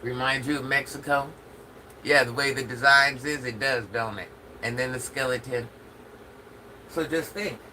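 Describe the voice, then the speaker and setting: An older woman hosts a casual phone livestream in a deep, forceful voice. She sounds stuffed up from allergies and chats straight to her viewers, with faint noise behind her. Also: moderate pace, long pauses, low-quality recording. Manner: chatty, talks straight to viewers. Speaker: livestream host.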